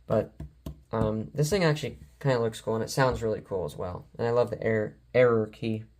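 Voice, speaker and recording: A man's voice: lowish voice, a little fast, darkish tone, slight background noise. A man giving a casual, rambling vlog-style showcase as an enthusiastic collector, often stumbling over his words. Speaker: collector showing weather radios and scanners.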